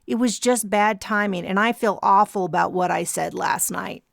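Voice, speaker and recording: A woman voicing a weary grown man who speaks in a slow drawl. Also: mid-range voice, gravelly, a bit bright, no echo, clean studio sound. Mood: exasperated.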